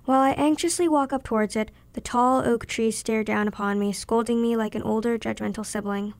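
A woman's voice: on the high side, fairly even intonation, brisk, no room sound. A young woman in her teens reading her own written story aloud as a scripted narration. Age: teenager.

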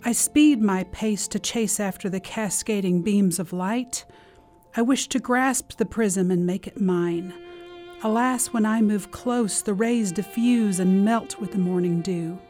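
Woman, very slow delivery, dark mellow tone, poetry recital, mid pitch. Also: soft background music. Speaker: poet. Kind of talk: reading own poem.

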